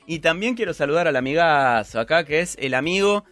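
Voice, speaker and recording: A man's voice: on the high side, lively intonation, fairly quick, a bit bright, dry recording. A man with a Rioplatense Spanish accent hosting a radio show, playful and teasing as he reads listener messages. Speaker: radio host. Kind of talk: casual radio chat.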